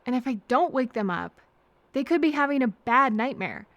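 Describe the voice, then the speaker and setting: A woman playing a kind, anxious, chatty traveler who gently overthinks and thinks aloud in a hesitant, earnest audio roleplay. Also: high-pitched, lively intonation, moderate pace, no room sound, lo-fi sound. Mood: anxious, dithering.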